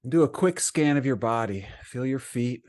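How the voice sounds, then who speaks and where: A man leading a guided meditation as a teacher, speaking gently and soothingly in short phrases with frequent pauses. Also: mid-range voice, lively intonation.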